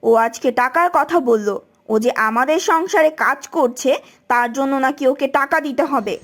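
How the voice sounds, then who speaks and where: A woman's voice: sits high, normal speed, a bit bright. A woman voicing a bad-tempered village aunt and housewife in a cartoon, nagging with a sarcastic edge. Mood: sulky complaining. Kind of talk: animated cartoon voice acting.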